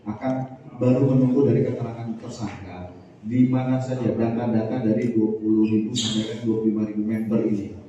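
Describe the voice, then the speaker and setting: A man's voice: steady tone, dark tone, recorded from a distance. Muffled, echoing man at a press briefing. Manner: formal, halting official delivery. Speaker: police spokesman at press conference. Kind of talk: press briefing answering reporters. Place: large echoey room, through PA microphone. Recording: boomy echoing hall, muffled, faint noise.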